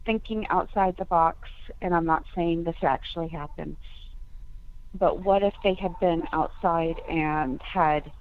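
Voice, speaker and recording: A woman's voice: lowish voice, unhurried. A woman in a conference call discussion, thinking aloud in a tentative way. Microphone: phone. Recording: tinny phone conference line.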